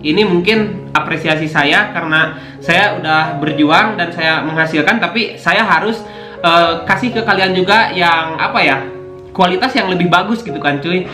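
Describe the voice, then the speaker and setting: Young man, high voice, slangy casual vlog chat, echoey room, guitar music behind. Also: moderate pace. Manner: slangy, keeps saying "cuy", many fillers. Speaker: motovlogger YouTuber. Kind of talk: casual unboxing chat to camera.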